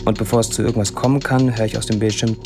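Deadpan, sardonic young man played by an actor. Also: fairly low pitch, very fast, natural voice. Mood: somber, detached. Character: young Syrian man, sardonic, disillusioned social media diarist. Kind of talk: literary monologue in a radio drama.

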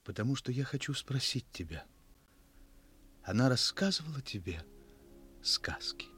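An actor playing an earnest, wistful schoolteacher, solemn and hesitant, in a 1960s Soviet radio drama. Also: male voice, mid-range voice, big pitch swings, unhurried, fairly bright tone, faint music behind. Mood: hesitant, gentle. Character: earnest, wistful village schoolteacher, gentle with children.

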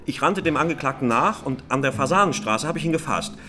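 An actor playing a plain-spoken, agitated eyewitness, vividly retelling events as courtroom testimony in a radio drama. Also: male voice, fairly high pitch, lively intonation, fairly quick, music in the background, lightly acted. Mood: matter-of-fact, vivid. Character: male eyewitness, plain-spoken, agitated, recounting a street arrest.

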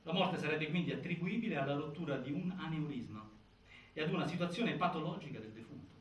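An actor playing a grave narrator of a prison death inquiry, reciting findings with emphatic delivery and halting pauses in theatrical documentary style. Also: male voice, fairly high pitch, highs cut off, lightly acted. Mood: grave, insistent. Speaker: stage actor narrating an investigation. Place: indoor stage, picked up from afar. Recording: distant, echoey, noisy theatre recording.

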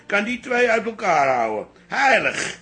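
A man's voice: high-pitched, big pitch swings, unhurried, bright, forceful tone, full character voice. An actor playing an older, possessive father in a radio comedy-drama, sentimental and grandiloquent, cajoling his grown daughter. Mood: pompous.

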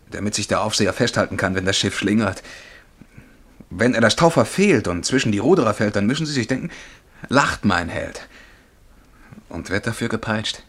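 An actor playing a dreamy, excitable young male bank clerk and would-be poet in a German radio play, fervent and absorbed as he describes things vividly. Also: fairly low pitch. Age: young adult.

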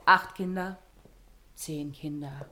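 A woman's voice: sits low, fairly even intonation, slow. A woman acting a sardonic chronicler of a dynasty in a German radio play, narrating in a theatrical, ironic way. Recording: music behind the voice.

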